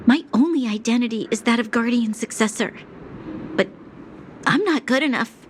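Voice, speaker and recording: A woman's voice: on the high side, slight background noise, natural voice. A woman playing an earnest, dutiful young noblewoman in a game cutscene, speaking in a proper, formal and serious manner. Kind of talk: English-dubbed game cutscene acting.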